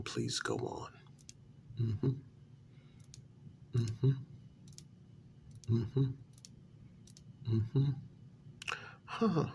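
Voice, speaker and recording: A man plays an unhinged, condescending male patient in an asylum ASMR role-play. His soft voice is thick with creaky fry and often sinks to a half-whisper. He talks extremely slowly and haltingly, in a casual, matter-of-fact way, over a faint background noise. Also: mid-range voice, full character voice. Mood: guarded, patient.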